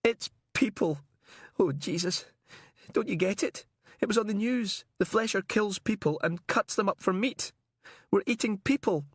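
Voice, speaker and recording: A man's voice: high-pitched, normal speed, pauses often, slightly rough, dry recording, clean studio sound, pitched above the performer's own voice. A man voicing a panicking male captive, frantic, pleading and sobbing in an audiobook thriller. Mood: horrified, sobbing. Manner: pleading, terrified.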